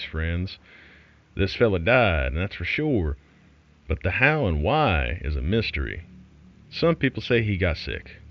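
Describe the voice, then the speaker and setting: A man's voice: deep voice, muffled recording, a little hiss or hum, heavily acted. A man playing a wry, folksy underground broadcaster who tells an eerie tale in a sardonic, conspiratorial way. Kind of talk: scripted horror storytelling as a broadcast.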